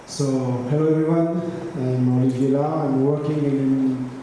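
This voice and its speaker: A man from France, opening a panel as moderator in halting phrasing. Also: medium pitch, fairly even intonation, mellow, slight background noise. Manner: halting, non-native phrasing. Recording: echoey hall.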